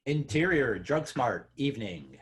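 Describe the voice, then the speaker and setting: A man reads out scene headings at a script table read. His voice is medium in pitch and husky, announcing each short phrase as scripted. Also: very animated, a little slow. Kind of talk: scripted screenplay reading.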